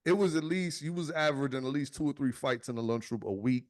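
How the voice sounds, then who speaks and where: A man's voice: medium pitch, quick pace. Slangy, swearing podcast host guy. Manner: slangy, profane, animated storytelling. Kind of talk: casual podcast chat.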